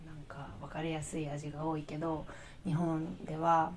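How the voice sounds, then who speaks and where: A young woman from Japan shares quiet observations. Her voice is very low for a woman and stays flat and even. Faint noise sits under the recording. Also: fast. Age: young adult. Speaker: international student. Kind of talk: interview testimony.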